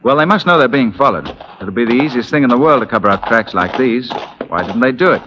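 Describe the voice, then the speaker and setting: An actor playing a bold, confident Union officer in the Old West, brisk and commanding, in 1940s radio style. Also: male voice, mid-range voice, lively intonation, sharp tone, highs cut off. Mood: assured, respectful. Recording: noisy 1940s radio broadcast.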